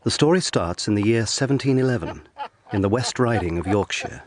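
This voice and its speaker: An actor playing a reflective, haunted young lawyer, narrating a period audio drama in a measured, sombre way. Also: male voice, lowish voice, expressive, lightly acted. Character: reflective, haunted young 18th-century lawyer recounting his past. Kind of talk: scripted first-person drama narration.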